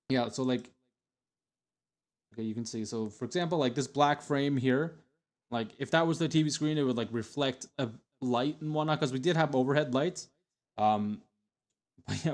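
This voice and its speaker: A man's voice: mid-range voice, normal speed, pauses often, darkish tone. Rambling young man chatting on stream. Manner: rambling, many fillers and restarts. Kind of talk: casual stream chat.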